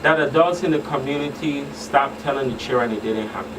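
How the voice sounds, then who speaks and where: A man's voice: medium pitch, brisk. A man speaking as a guest expert in a radio talk show discussion, emphatic and repeating phrases for stress. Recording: distant mics, loud air-conditioning hum.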